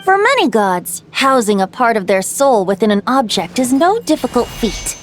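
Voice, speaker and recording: A woman's voice: on the high side, sing-song, moderate pace. A woman playing a playful, youthful bard in a video game, telling tales in a lilting, theatrical, poetic way. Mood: knowing. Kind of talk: video game voice acting, storytelling.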